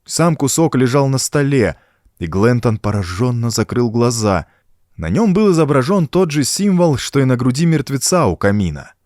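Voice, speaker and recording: A man narrating a horror story as an audiobook, reading the scripted prose in a suspenseful, dramatic and expressive storytelling manner. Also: mid-range voice, normal speed, no room sound, clean studio sound.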